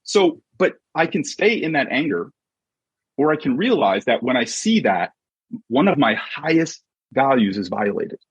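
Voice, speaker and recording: A husky-voiced man giving an emphatic, conversational motivational talk as a coach and podcast guest, often checking in with short rhetorical questions. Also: medium pitch, sing-song, normal speed, no echo. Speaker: podcast guest, sports mindset coach and author. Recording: dull, muffled lo-fi audio.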